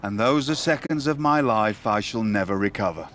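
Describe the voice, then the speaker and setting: An actor playing a dry-witted wrestling tour organizer with a formal, wry way of speaking, in a video game cutscene. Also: male voice, on the low side, normal speed, a bit bright, slight background noise, close to an everyday voice.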